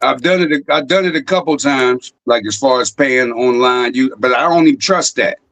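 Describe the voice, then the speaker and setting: A man hosting a casual online chat, speaking in a rambling, halting way with many fillers. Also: medium pitch, a bit bright, no room sound. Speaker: host. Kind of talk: casual chat on a live stream.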